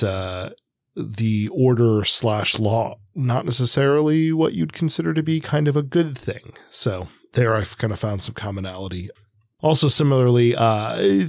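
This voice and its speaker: A man co-hosting a podcast, giving conversational analysis in a hesitant, measured way, thinking aloud as he goes. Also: medium pitch, a little mellow, dry recording, studio quality. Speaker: podcast co-host, comics expert.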